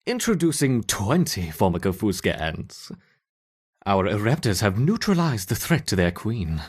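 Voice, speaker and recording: A man's voice: lowish voice, big pitch swings, unhurried, slightly rough, exaggerated voice. A man playing a theatrical video game scientist who relishes cruel experiments on ants, with a mock-innocent manner. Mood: intrigued, calculating. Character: gleefully sinister male scientist running cruel ant experiments. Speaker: lab researcher character. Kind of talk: video game character acting.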